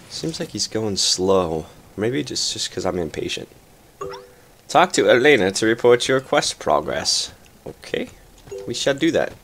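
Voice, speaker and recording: A man's voice: fairly low pitch, slight background noise. A young man hosting a casual let's play, chatty and playful, a little stuffed up. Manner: chatty, playful, easily excited. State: slightly stuffed up. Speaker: gaming channel host. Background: game music.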